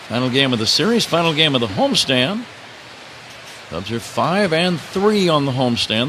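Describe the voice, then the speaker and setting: A man calling baseball play-by-play live on the radio as the announcer. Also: medium pitch. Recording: crowd roar and steady stadium noise. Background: ballpark crowd.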